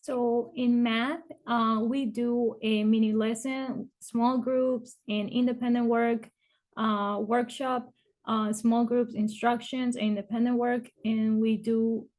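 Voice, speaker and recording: A woman's voice: fairly high pitch, little pitch movement, darkish tone. A woman kindergarten teacher from Ecuador, introducing herself and listing the daily schedule and math topics, with frequent uhs. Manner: rattles off schedules quickly with uh fillers. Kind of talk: presenting a school info video.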